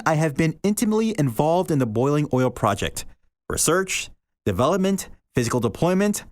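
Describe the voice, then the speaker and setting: A male podcast host reading a short story aloud in an expressive, deliberate way, slowing down for emphasis. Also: on the high side, no echo.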